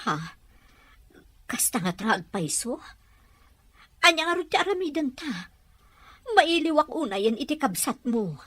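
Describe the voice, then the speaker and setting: Woman acting high-pitched Ilocano mother, concerned. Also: big pitch swings, measured pace, pauses often, fairly bright tone, no room sound, heavily acted. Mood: worried. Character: worried Filipino mother speaking with her child. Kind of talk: radio drama acting.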